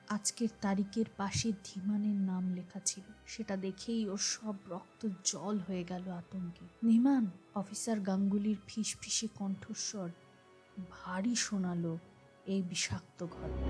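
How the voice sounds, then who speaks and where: A woman with a soft, dark-toned voice reads a suspense detective tale as a podcast narrator. Her delivery is measured and dramatic, sinking into hushed phrase endings. Music plays underneath her narration. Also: medium pitch. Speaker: narrator of an audio story podcast. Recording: voice over a music bed.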